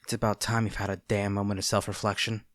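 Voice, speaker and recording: A man playing a conflicted heroic captor in a dramatic audio roleplay, earnest and halting, tender toward an old friend turned enemy. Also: on the low side, little pitch movement, a bit bright, no room sound, clean studio sound, natural voice. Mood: stern, pained. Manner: earnest, halting, formal wording with dramatic pauses.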